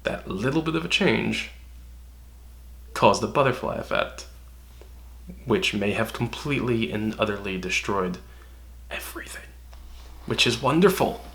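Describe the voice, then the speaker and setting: A man's voice: lowish voice, lively intonation, a little slow, gravelly, pauses often, fairly bright tone, slight background noise. A man co-hosting a casual analytical fan discussion, explaining his ideas with emphasis. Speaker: co-host, fan commentator.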